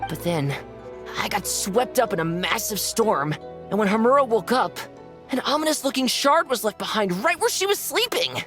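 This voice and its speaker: A woman's voice: a little high, animated, moderate pace, over music, lightly acted. A woman voicing a devoted, hot-tempered young male imaginary-friend creature in a video game, earnest and emotive. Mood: sheepish recounting. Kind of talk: animated video game dialogue.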